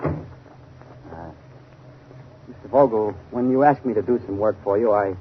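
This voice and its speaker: A man's voice: fairly low pitch, unhurried, slight background noise, natural voice. An actor playing a timid, conscience-stricken family man, hesitant and earnest, in a 1930s radio mystery. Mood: uneasy, polite. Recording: dull 1930s radio recording.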